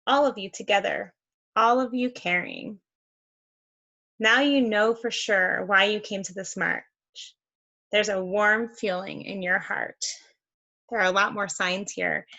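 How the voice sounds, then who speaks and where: A woman reading a picture book aloud to young children, expressive and encouraging, with conversational asides. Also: medium pitch, measured pace, creaky, highs cut off. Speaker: read-aloud host for children.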